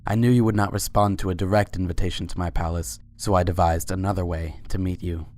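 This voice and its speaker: Suave royal stallion for fantasy audio story , acted by a man: low, measured, formal, flattering. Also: a little hiss or hum, close to an everyday voice. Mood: courtly, flirtatious. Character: suave, courtly winged unicorn Sultan, adult male pony. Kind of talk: fan fiction dramatic reading.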